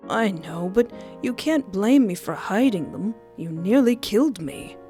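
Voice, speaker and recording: A woman's voice: medium pitch, lively intonation, a little slow, dark tone, faint music behind, close to an everyday voice. A woman playing a flippant young magic-user in a fantasy audio story, casual and a little evasive. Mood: defensive.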